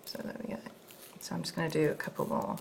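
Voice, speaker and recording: A woman's voice: fast, a little hiss or hum. A woman demonstrating a bracelet-making craft on a studio show, explaining each step with hesitant restarts and fillers.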